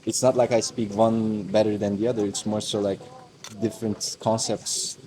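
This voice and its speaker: A man's voice: on the low side, little pitch movement, normal speed, low-quality recording. Turkish man musing over crowd noise. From Turkey. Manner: reflective, rambling with many fillers and restarts. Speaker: host. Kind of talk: casual outdoor conversation. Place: outdoors in a busy mountain village.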